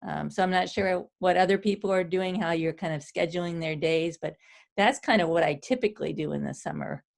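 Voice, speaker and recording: Chatty woman with a lowish voice; casual video-call chat. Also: a little fast, gravelly. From central Pennsylvania. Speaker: poet and university teacher.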